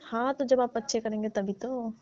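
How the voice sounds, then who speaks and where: A woman's voice: a little high, steady tone, moderate pace, darkish tone, no room sound. A young woman on a staged comic phone call, teasing and coaxing the man she calls uncle, playing a patient. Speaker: caller posing as patient. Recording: muffled phone call recording.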